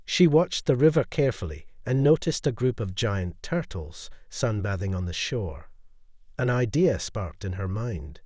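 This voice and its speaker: Animated, mellow male storyteller, close and dry. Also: lowish voice, measured pace, dry recording. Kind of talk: scripted bedtime folktale narration.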